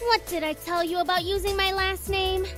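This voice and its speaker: A woman's voice: very high-pitched, steady tone, normal speed, fairly bright tone, faint music behind, pitched above the performer's own voice. A woman voicing a guarded, curt young woman friend in a visual novel. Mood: exasperated.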